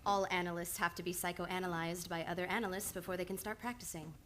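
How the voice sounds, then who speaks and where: A woman's voice: fairly low pitch, steady tone, quick pace, fairly bright tone, close to an everyday voice. Actress as earnest radio-drama woman psychoanalyst, noisy recording. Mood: wry, composed. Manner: insistent, probing, clinical yet affectionate. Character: composed, earnest woman psychoanalyst, devoted to her patient. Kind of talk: old-time radio drama acting. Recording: live radio play with background noise.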